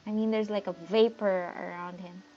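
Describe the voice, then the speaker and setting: A woman's voice: medium pitch, steady tone, normal speed, mellow, muffled recording, soft background music. A woman casually reacting as she streams a game.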